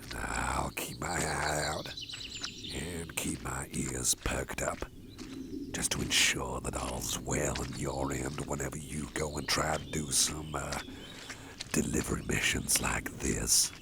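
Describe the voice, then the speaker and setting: A man playing a whispering, gravelly softie boss. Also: extremely low pitch, steady tone, takes it slowly, very noisy, full character voice. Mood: gentle. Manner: soft, close whispering with chuckles. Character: protective, soft-hearted male boss. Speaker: ASMR roleplay performer. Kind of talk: ASMR personal attention roleplay.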